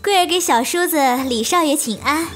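A woman's voice: high-pitched, fairly quick, fairly bright tone, soft background music. A woman playing a flirtatious, teasing bandit's wife in a Chinese period audio drama, with a coy, playful manner. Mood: coy admiring.

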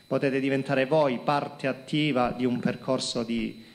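A middle-aged man from Calabria giving a halting talk to students at a lectern, with many fillers and repeated words, into a handheld microphone in a conference hall. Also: mid-range voice, fairly even intonation, gravelly. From Calabria, Italy. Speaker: company executive presenting to students. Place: conference hall with projector screen.

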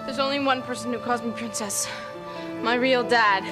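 Actress as high-voiced earnest teenage daughter. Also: female voice, fairly even intonation, normal speed, close to an everyday voice. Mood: tearful relief. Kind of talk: dramatic screen acting. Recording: loud music under the dialogue.

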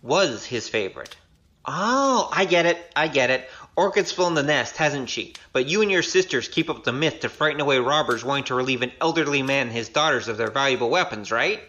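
Woman playing a lively, glib young rogue. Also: a bass voice, brisk, dull audio. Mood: wry, exasperated. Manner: wry, hesitant patter. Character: glib young male rogue gambler, wry and evasive. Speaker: voice actor in audio drama. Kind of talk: audio drama acting.

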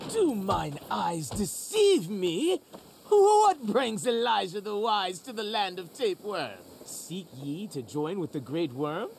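Pompous, slow mock-medieval warrior. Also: male voice, high-pitched, sing-song, gravelly, noisy background, heavily acted. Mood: haughty challenge. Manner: pompous, declamatory. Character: pompous mock-medieval role-play warrior of rival house. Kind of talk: theatrical mock-archaic game dialogue.

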